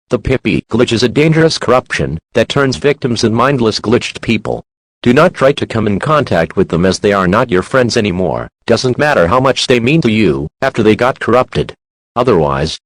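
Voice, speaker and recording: Guy reading emergency alerts, formal and stern, medium pitch, very dry sound. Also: dry recording, low-quality recording. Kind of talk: scripted emergency alert announcement.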